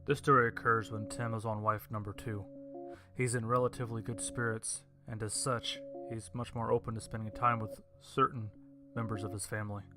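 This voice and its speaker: A man's voice: on the low side, flat delivery, fairly quick. A man reading a scripted story as its narrator. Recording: music behind the voice. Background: music.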